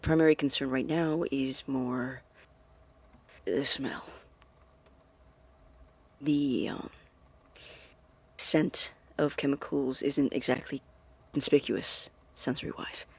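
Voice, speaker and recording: An actor playing a secretive, methodical male science student in an audio drama, dictating a tape diary with hesitant, precise wording in a gravelly, husky voice. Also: mid-range voice, fairly even intonation, takes it slowly, long pauses, heavily acted. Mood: clinical, uneasy.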